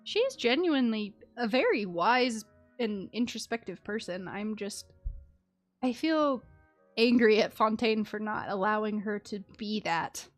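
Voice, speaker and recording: A woman's voice: medium pitch, lively intonation, measured pace, soft background music. A woman streaming a video game, giving casual commentary with blunt, reactive asides.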